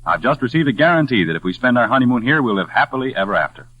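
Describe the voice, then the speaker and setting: An actor in a 1940s radio drama playing a charming, confident, playful young fiancé. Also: male voice, medium pitch, very animated, rapid-fire, lightly acted. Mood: breezy, confident. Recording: muffled vintage 1940s radio recording.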